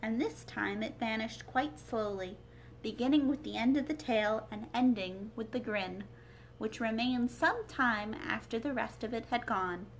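A woman's voice: on the high side, measured pace. A young adult woman hosting a children's read-aloud, reading a story indoors at a little distance from the mic. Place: small living room, indoors. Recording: faint noise, home livestream.

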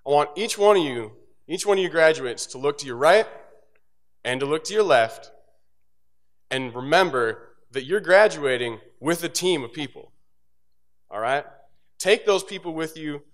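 A young man, an instructor, giving a casual, joking graduation speech from a podium microphone on an auditorium stage, with asides that drop low and quiet. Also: expressive, measured pace, pauses often. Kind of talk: motivational commencement speech.

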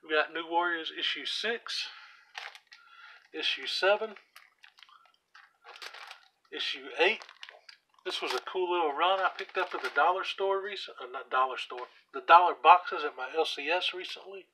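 A hoarse man casually walking through his comic book collection, reading out titles with offhand asides while he sits on the floor at home. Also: fairly high pitch, unhurried, pauses often, sharp tone, a little hiss or hum, low-quality recording. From US South. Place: indoors at home, seated on the floor by a shelf. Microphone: camera aimed at the shelf, speaker off screen.

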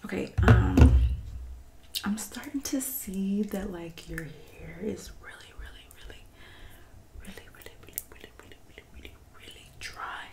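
Creaky, soft-spoken young woman, condescending ASMR. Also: medium pitch, expressive. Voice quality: soft-spoken, often slipping into whisper. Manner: condescending, passive-aggressive, drawn-out backhanded remarks. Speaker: ASMR roleplay creator. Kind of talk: ASMR roleplay as a mean friend and hairdresser.

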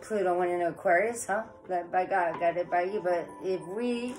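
Woman, 40s, home tarot reader filmed by phone across a table in her living room; chatty, stuffy from a cold, clear music underneath. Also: fairly low pitch, normal speed. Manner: chatty, rambling, says "guys" often. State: head cold, sniffling. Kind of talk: casual tarot reading to camera. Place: living room with electric fireplace, indoors.

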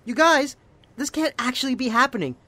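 Woman playing high, melodramatic teen girl. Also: lively intonation, fairly quick, sharp tone, no room sound, raised voice, exaggerated voice. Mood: exasperated. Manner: melodramatic, whiny outrage. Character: self-absorbed, phone-obsessed teenage girl in middle-aged man's body. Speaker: amateur fan-dub voice actor. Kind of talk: film fan dub acting.

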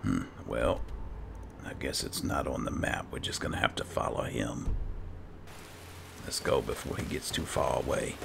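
Very deep, gravelly male gamer. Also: fairly even intonation, unhurried, pauses often, background noise. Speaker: gamer, let's player. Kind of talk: casual gameplay commentary.

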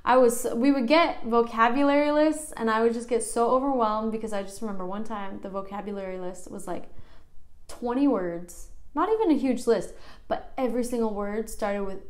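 A young American woman in her twenties, vlogging casually from her living room. She chats in a self-deprecating way, with lots of "like" and laughter. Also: mid-range voice. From United States. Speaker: vlogger learning Basque. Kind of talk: casual chatty vlog. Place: living room at home, indoors.

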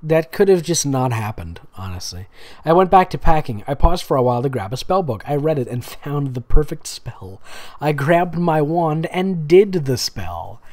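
A man's voice: a little high, big pitch swings, normal speed, a little mellow. A man reading amateur fan fiction aloud sarcastically, pointing out typos and breaking off for casual commentary.

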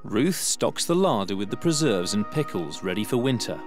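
A man narrating a documentary in scripted, measured storytelling. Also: lowish voice, very animated. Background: music bed.

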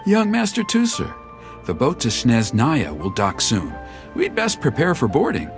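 A man's voice: mid-range voice, wildly swooping pitch, a little slow. A man voicing a polite, formal manager addressing a young master. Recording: game audio over music.

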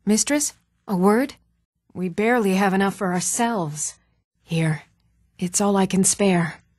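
Woman acting even-toned townswoman, very dry studio sound. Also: mid-range voice, dry recording, natural voice. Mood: formal, firm, then earnest, concerned. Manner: even-toned, measured, clearly enunciated. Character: even-toned adult townswoman of a fantasy realm. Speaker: video game voice actor. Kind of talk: scripted fantasy role-playing game dialogue. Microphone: close, dry studio microphone.

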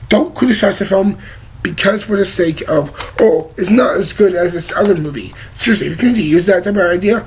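A young man with a high voice, croaky and cracking because he is sick. He rambles through a movie review, gesturing and swearing bluntly, recorded on a webcam in a living room. A faint hiss sits under his voice. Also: fairly quick. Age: young adult. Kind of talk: casual movie review vlog. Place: living room with leather couch, indoors. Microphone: webcam at desk distance.

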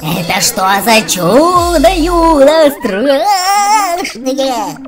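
A woman co-hosting a let's play for small children with animated, childlike exclamations. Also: high voice, drawn-out words. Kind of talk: children's game commentary. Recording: music behind the voice. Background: music.

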